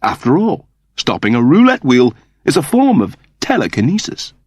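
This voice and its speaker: A man's voice: mid-range voice, wildly swooping pitch, normal speed, darkish tone, breathy edge, no echo, pitched above the performer's own voice. A man voicing a fussy, earnest mechanoid turned human in an audiobook, with a plaintive, pedantic manner. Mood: earnest, reasoning.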